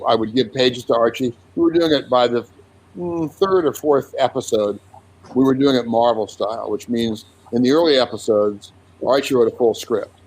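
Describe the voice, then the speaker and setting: An older man in his seventies, a comic artist recalling his early career in a relaxed interview, unhurried with frequent restarts. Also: mid-range voice, poor audio. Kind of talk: casual conversational interview.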